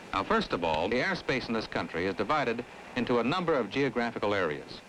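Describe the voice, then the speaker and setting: An American man acting as an affable, earnest air traffic specialist, explaining in a measured way in an old educational film. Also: mid-range voice, close to an everyday voice. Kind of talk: scripted 1960s educational film dialogue and narration. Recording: old 1960s film soundtrack, faint noise.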